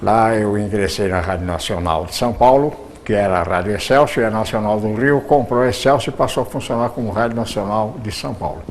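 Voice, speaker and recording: An older Brazilian man, a veteran radio actor, telling stories in an unhurried way with pauses and restarts as an interview guest. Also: lowish voice, a little hiss or hum. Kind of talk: reminiscing interview answers.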